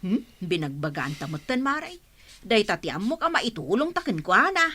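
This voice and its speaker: Woman playing a bright, animated Ilocano village gossip. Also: mid-range voice, moderate pace, husky, heavily acted. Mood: gossipy, advising. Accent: Ilocano, northern Philippines. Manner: conversational, probing. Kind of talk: comedy radio drama acting.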